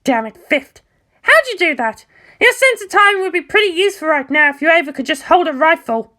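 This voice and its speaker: Woman voicing a high-pitched, brash teenage girl pilot. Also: expressive, fairly quick, pushed and bright, full character voice. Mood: irritated. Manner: blunt, sarcastic, emphatic. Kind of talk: acted story dialogue.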